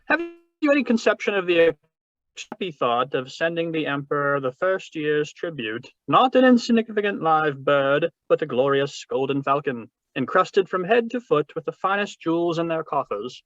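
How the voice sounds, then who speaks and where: A man's voice: a little high, lively intonation, moderate pace, fairly bright tone, dry recording. A man playing a suave, wordy older crime boss with pompous, florid politeness in a 1940s-style radio drama over a video call. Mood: grandly storytelling. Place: remote read-through over a video call. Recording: muffled, dry video-call audio.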